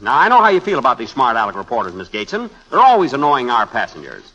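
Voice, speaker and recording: An actor playing a brash, glib newspaper reporter who teases and wisecracks, in 1940s radio comedy style. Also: male voice, mid-range voice, wildly swooping pitch, quick pace, bright, forceful tone, dull audio, full character voice. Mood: teasing, sly.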